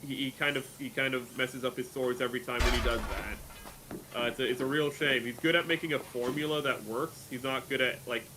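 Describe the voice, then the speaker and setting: A man's voice: medium pitch, steady tone, a little fast, background noise. A man streaming a game, talking casually and animatedly, with a rambling flow full of fillers. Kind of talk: casual chat while gaming.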